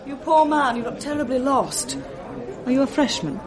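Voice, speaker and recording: An actress playing a clever, self-assured young female Oxford student at a party, brisk and teasing in a radio drama. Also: high voice, very animated, a crowd in the background. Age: young adult. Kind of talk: 1980s radio drama acting.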